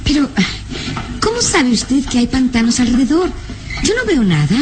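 A courteous young lady in a vintage radio drama. Her voice is fairly high and expressive, full of polite curiosity and admiration, over a noisy old recording. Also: moderate pace. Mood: curious, admiring. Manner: courteous, inquisitive. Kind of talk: old Latin American radio serial acting.

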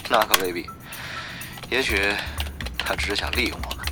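A man's voice: fairly low pitch, a little slow, music in the background, close to an everyday voice. A man playing a jumpy, suspicious undercover guild spy in an audio drama, musing aloud and exclaiming. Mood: wary. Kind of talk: audio drama acting with inner monologue.

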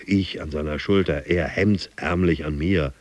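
An actor playing a driven, boastful gym fanatic in a radio drama monologue, intense and theatrical. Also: male voice, a bass voice, a little slow, a little mellow, no echo. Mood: dreamy, exalted. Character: driven, boastful male gym fanatic dreaming of his boss and America.

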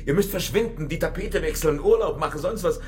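An actor playing an agitated, wheedling fixer in a radio crime drama, urgent and insistent, dropping into confiding asides. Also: male voice, fairly high pitch, brisk, a little hiss or hum, natural voice. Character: wheedling, agitated male fixer urging his comrades to flee.

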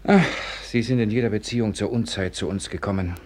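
A man playing an irritable, proud young Russian gentleman in a 1950s German radio drama, agitated and sharp-tongued. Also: lowish voice, brisk, lightly acted. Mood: tense, grudging.

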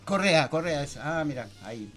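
Argentine guy hosting a radio chat, highish voice, loose and halting, music in the background. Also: lively intonation. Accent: Argentine Spanish. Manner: informal, halting, searching for words while theorizing. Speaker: radio host. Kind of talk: conversational talk-show discussion. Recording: music under the voice.

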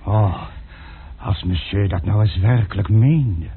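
An actor playing an unflappable, food-loving manservant with a fussy, deferential way of talking, in old Dutch radio drama style. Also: male voice, lowish voice, takes it slowly, vocal fry, breathy edge, heavily acted. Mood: deferential, eager. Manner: fussy, expressive, obsequious to his master. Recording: tinny, noisy old radio play recording.

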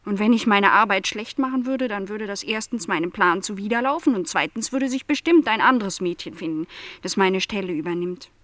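An actress playing a young housemaid in a radio play, lonely, stubbornly resigned and quietly bitter, speaking in measured, formal sentences. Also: female voice, medium pitch, lively intonation, no room sound, close to an everyday voice. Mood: bitter, insistent. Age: young adult.

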